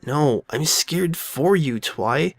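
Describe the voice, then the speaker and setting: A woman voicing a loyal, reassuring young boy dragon who talks plainly and gently insists, in an audio drama. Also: sits low, animated, slow, no room sound, studio quality, exaggerated voice. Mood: earnest, insistent. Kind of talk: all-dialogue fan audio drama.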